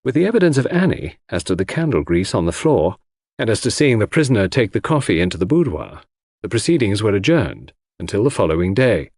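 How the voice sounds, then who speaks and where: A man narrates a detective novel in a measured, dramatic storytelling manner. His voice is husky and moves freely up and down in pitch, recorded very dry and close to silent surroundings. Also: sing-song, a little mellow, dry recording. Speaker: audiobook narrator.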